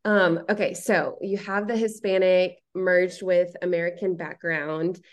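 A woman's voice: fairly low pitch, steady tone, unhurried. A woman hosting a podcast, asking friendly, encouraging open questions. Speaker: podcast host. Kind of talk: casual interview hosting.

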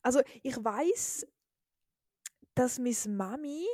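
Young Eastern Swiss woman, podcast co-host, slightly high voice, chatty and giggly, dry close mic. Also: lively intonation, slow pace, a little mellow, no echo. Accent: Eastern Swiss German. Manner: chatty, giggly, full of fillers. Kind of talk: casual chat.

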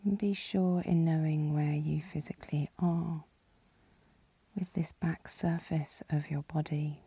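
Very soft, mellow, slow-speaking woman guiding meditation. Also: sits low, no room sound. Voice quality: soft, breathy, husky. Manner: calm, soothing, gently instructing. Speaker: yoga nidra meditation guide. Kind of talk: guided relaxation meditation.

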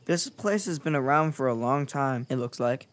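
A man's voice: mid-range voice, expressive, moderate pace, close to an everyday voice. A man playing a thoughtful, earnest young boy in a children's audio drama. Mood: earnest, curious.

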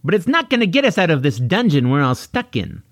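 A man's voice: a little high, very animated, fairly quick, no echo, clean studio sound, voice pushed up. A man voicing a bossy, sarcastic girl, blunt and impatient, for a children's audiobook.

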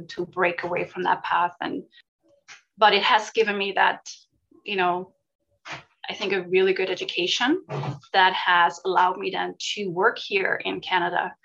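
A middle-aged woman from Switzerland gives interview answers in a low, gravelly voice that stays fairly flat in pitch. She talks thoughtfully and restarts her phrases often. The recording sounds dull and lo-fi. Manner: thoughtful, many fillers and restarts. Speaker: guest, volunteer charity leader.

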